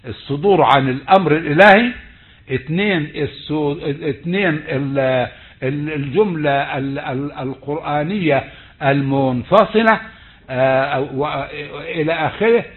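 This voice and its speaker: A male university lecturer with an Egyptian accent, his voice sitting slightly high for a man. He speaks slowly and deliberately, stretching words and circling back over his phrases. The sound comes through a thin, phone-like video call line. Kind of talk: online lecture on rhetoric. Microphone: computer mic on a video call. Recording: tinny phone-like call audio.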